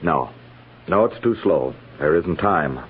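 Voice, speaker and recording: A man's voice: very deep voice, animated, measured pace, slightly rough, narrow, tinny audio, exaggerated voice. An American man, middle-aged, playing a stern, by-the-book cavalry major, formal and authoritative, in 1950s radio western acting. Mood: firm. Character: stern, by-the-book older male army major. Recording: thin band-limited old radio recording.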